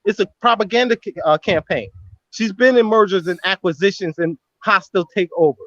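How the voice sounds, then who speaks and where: A man's voice: high voice, brisk, fairly bright tone, no room sound, lo-fi sound. A man arguing defensively and rambling, with lots of "you know what I'm saying", on a call. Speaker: guest in a live stream. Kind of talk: heated, defensive argument.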